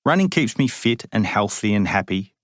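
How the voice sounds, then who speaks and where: A man reading a first-person memoir aloud as an audiobook, in an even, measured way with dry humour. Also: fairly low pitch, dry recording. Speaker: audiobook reader.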